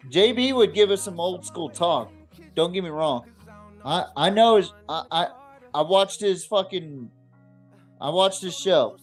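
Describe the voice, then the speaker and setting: A man with a voice a little higher than average hosts a casual sports podcast. He talks bluntly and swears freely, while faint music plays behind him. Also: expressive, a little slow. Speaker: podcast host.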